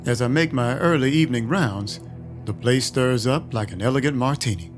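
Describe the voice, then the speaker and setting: A man plays a sardonic, hard-boiled vampire detective telling his own story in a noir audio drama. He is a weary loner with a deadpan delivery and a mid-pitched voice. Music plays behind him. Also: moderate pace, natural voice. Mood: wry, reflective. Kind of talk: noir first-person narration in an audio drama.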